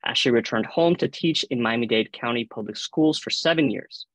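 Male panel moderator, Cuban accent, mid-pitched, formal scripted delivery, dry close video-call sound. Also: moderate pace, a bit bright, no room sound. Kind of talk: formal scripted introductions, reading biographies. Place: indoor room on a video call. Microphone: video call microphone.

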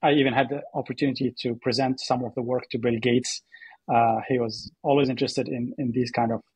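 A man from Syria speaking as a podcast guest in a conversational, halting way, repeating words as he thinks. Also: mid-range voice, level tone, a little mellow, breathy edge, no echo. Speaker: podcast guest, AI startup co-founder. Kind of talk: conversational interview storytelling.

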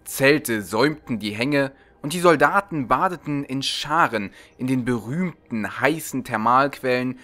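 A man reads fantasy fiction as an audiobook narrator. His voice is bright and forceful at a medium pitch, and his dramatic scripted delivery swings widely in intonation.

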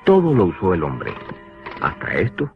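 Deep, expressive male educational narrator. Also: normal speed. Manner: didactic, explanatory. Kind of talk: scripted educational cartoon narration. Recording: dull lo-fi old cartoon soundtrack with music. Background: clear background music.

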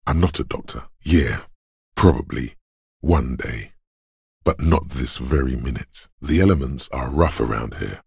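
An actor playing a grave, stern inner voice of endurance in a game, narrating bluntly and deliberately in a husky, gravelly tone. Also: male voice, very deep voice, no room sound, clean studio sound, exaggerated voice. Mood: somber. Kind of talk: scripted second-person game narration.

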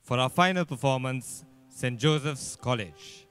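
Clear-voiced young Sri Lankan man announcing. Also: mid-range voice, a little slow, fairly bright tone, soft background music. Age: young adult. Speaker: master of ceremonies. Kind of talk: formal announcing at a choir concert. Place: large church, on stage. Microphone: handheld microphone.

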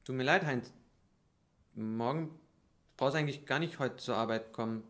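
A man playing a distraught young heir to an indebted family plant in an improvised stage radio play, halting and stammering. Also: mid-range voice, a bit bright, lightly acted. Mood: downcast. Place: small curtained theatre stage. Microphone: stand microphone.

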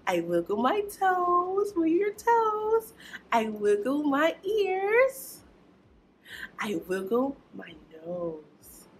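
Animated young woman hosting preschool storytime. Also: a little high, slight background noise. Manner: singsong, encouraging, deliberate, addressing small children. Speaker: children's librarian and storytime host. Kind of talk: preschool storytime presentation with action rhymes. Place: small indoor children's library corner.

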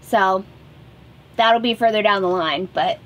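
A woman's voice: mid-range voice, a little slow, a bit bright, dull audio. A woman in her thirties casually explaining a DIY project as a vlog host. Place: small wood-panelled boat cabin indoors.

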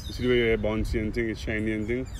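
A man's voice: lowish voice, level tone, racing, slight background noise. A young man from St. Lucia answering a street quiz outdoors in a playful, exclaiming way. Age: young adult. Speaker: interviewee. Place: outdoors in a town square park. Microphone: interviewer's handheld mic.

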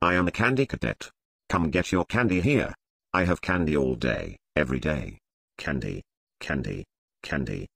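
A man voicing a candy robot in a video game, telling grim tales in a measured, deadpan way. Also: very deep voice, creaky, exaggerated voice. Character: monotone candy-dispensing robot telling grim bedtime stories. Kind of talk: scripted video game character narration.

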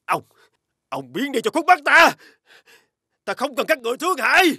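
A man's voice: very high-pitched, very animated, normal speed, pushed and bright, no echo, clean studio sound, exaggerated voice. A man playing a proud, bitter ruined rich husband in a fairy-tale cartoon, theatrical and indignant. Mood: bitter, angry.

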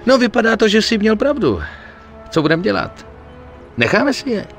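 A male actor in a dubbed video game, playing an excitable, greedy gangster sidekick who swears and wheedles. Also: high-pitched, soft background music. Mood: excited, tempting.

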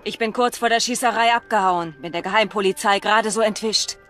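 A woman's voice: mid-range voice, a little fast, a bit bright, lightly acted. An actress plays a self-assured woman agent in a game cutscene. She speaks in a medium register with a flirtatious, knowing manner. Music sits behind the voice. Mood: casual, sympathetic. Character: seductive, self-assured female secret agent. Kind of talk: dramatic video game acting in German.

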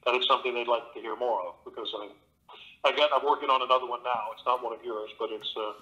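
A man's voice: mid-range voice, steady tone, fast, bright, forceful tone, muffled recording. A middle-aged man, an actor and audiobook narrator, talking casually in an interview from his home studio with a theatrical, expansive manner.

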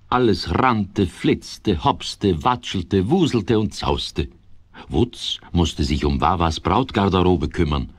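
A man playing an expressive storybook narrator in a children's radio play, savouring his lists of words. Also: sits low, no echo, full character voice. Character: storybook narrator, adult man, relishing the tale.

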